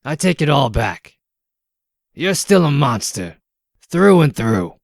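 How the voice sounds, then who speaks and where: A man's voice: mid-range voice, big pitch swings, slow, vocal fry, dry recording, studio quality, heavily acted. A man playing a sly, self-important talking pig in a comic dub, whiny and wheedling, with indignant, wordy complaints. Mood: outraged. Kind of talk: comic dub character acting.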